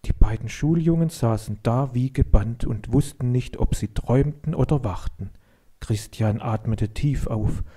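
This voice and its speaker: A man narrates a story for children with a soft, mellow tone. His voice sits at a middle pitch, and he reads deliberately and expressively, as a practised storyteller does. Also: slightly rough. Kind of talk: scripted dramatic storytelling reading.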